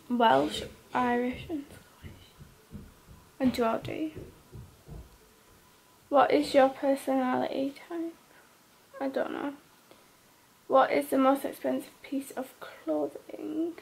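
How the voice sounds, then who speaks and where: A woman's voice: creaky, breathy edge. An eighteen-year-old woman from the of England, lying in bed and giving short, sleepy answers to a list of questions. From North East England. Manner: sleepy, low-key, short flat answers. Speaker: vlogger. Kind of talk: casual Q&A vlog.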